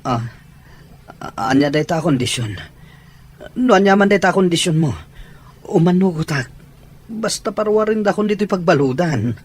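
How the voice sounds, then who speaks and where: A man's voice: sits high, animated, moderate pace, long pauses, breathy edge. A man playing a desperate, submissive husband with a Filipino accent, begging in an Ilocano radio drama. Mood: pleading.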